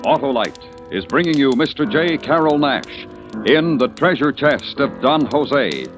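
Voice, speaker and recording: A man announcing a vintage radio thriller in a measured, formal, dramatic manner. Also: medium pitch, lively intonation. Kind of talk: 1940s-50s radio announcing. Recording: old radio broadcast over music.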